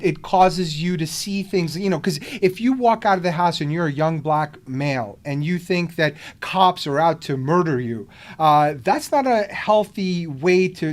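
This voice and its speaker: A man hosting a podcast, born in the Soviet Union and speaking English as a second language, talking in a rambling way with many restarts and fillers. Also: fairly high pitch. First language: not English. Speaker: podcast host. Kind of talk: casual conversation.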